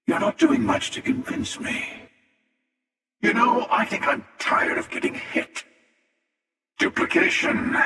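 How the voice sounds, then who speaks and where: Echoing, gravelly, menacing game villain. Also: male voice, very high-pitched, bright, forceful tone, heavily acted. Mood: sardonic, taunting. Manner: taunting, sardonic, unhurried. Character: menacing, sardonic, world-weary armored male Starborn warrior. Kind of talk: video game character voice lines. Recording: cavernous long reverb, distant.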